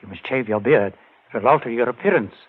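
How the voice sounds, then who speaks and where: An actor playing a grieving brother set on finding a murderer, earnest and urgent in 1940s radio drama style. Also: male voice, mid-range voice, expressive, brisk, breathy edge. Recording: tinny old radio broadcast.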